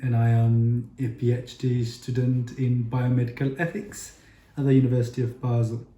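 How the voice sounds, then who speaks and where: A young man from Ethiopia, a PhD student, explaining things in a relaxed way with small examples. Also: medium pitch, moderate pace. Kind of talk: casual group chat. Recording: echoey room.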